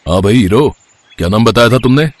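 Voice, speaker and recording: A man voicing a wealthy, trusting factory owner, measured and reassuring, in a moral story. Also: medium pitch, animated, a little hiss or hum, close to an everyday voice. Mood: kindly, reassuring. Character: kindly, trusting wealthy male factory owner, calm boss. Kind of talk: Hindi moral story voice acting.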